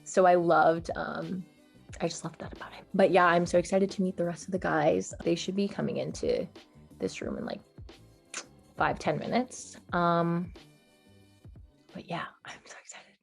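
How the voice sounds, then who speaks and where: Creaky-voiced, enthusiastic young woman. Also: lowish voice, normal speed, long pauses. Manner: enthusiastic, gushing. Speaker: dating show host and dater. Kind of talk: casual chat. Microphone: video call. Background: background music.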